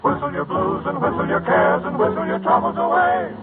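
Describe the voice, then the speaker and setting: Men singing cheerful close harmony as a 1940s radio vocal group. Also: male voice, extremely high pitch, wildly swooping pitch, fairly quick. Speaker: singer in a male vocal group. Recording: narrow-band old radio, loud music.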